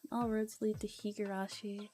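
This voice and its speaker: A soft-spoken woman streaming a relaxed ASMR read-aloud of a visual novel with casual asides, often dropping to a whisper. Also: mid-range voice, moderate pace. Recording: music behind the voice. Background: background music.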